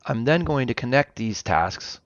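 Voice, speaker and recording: A man narrating an informal software demo as presenter, explaining things step by step. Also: medium pitch, expressive, unhurried, no room sound, lo-fi sound. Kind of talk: informal product walkthrough narration.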